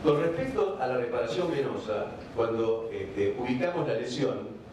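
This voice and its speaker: Argentine guy giving a medical talk, measured with lots of "eh"s, super echoey hall, mic far off. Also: medium pitch, expressive, a little mellow. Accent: Argentine Spanish. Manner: measured, with frequent hesitation fillers. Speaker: vascular surgeon giving a conference talk. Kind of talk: medical conference lecture. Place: large conference hall. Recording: echoey hall recording from afar, background noise.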